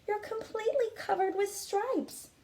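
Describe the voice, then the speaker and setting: A woman's voice: very high-pitched, a little slow, darkish tone, a little hiss or hum, voice pushed up. A woman voicing an alarmed, fussing mother from a storybook, exclaiming with concern. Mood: shocked.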